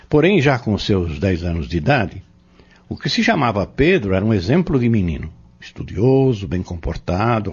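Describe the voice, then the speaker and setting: A low, animated Brazilian male mentor acted by a man, earnest and didactic. He lays out his lesson in measured phrases and pointed questions, as in an audio drama, on a dull recording. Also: slightly rough, heavily acted. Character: earnest male Spiritist teacher explaining doctrine to youths.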